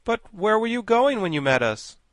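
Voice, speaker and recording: A man's voice: high voice, sing-song, normal speed, fairly bright tone, no echo, voice pushed up. A man voicing an earnest young boy who speaks plainly and eagerly.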